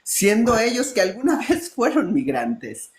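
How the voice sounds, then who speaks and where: A woman speaking as a panelist, animated and emphatic, with colloquial asides. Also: fairly high pitch, measured pace, roomy sound. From Mexico. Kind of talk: panel discussion.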